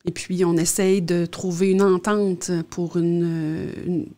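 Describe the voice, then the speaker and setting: A woman's voice: on the low side, level tone, slow, mellow. A middle-aged woman with a Quebec French accent explains things plainly as an interview guest on a close studio mic. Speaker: guest, youth justice social worker. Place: small indoor radio studio. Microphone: close studio mic on boom arm.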